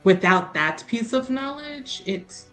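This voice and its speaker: A woman's voice: fairly high pitch, lively intonation, slow, a bit bright, faint music behind. A woman chatting casually and explaining things emphatically as a player in a tabletop roleplaying game.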